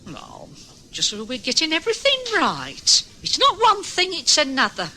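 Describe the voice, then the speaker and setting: An actress playing an anxious but practical, talkative housewife in an old radio drama. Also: female voice, high-pitched, huge pitch swings, moderate pace, sharp tone, exaggerated voice. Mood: insistent.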